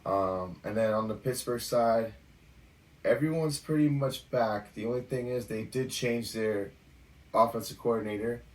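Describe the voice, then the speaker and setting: A man co-hosting a sports podcast, going over game matchups in a relaxed chatty way with lots of fillers. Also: mid-range voice, unhurried, far from the mic, low-quality recording. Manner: relaxed, talks through stats with fillers. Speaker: podcast co-host. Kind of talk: casual sports podcast chat.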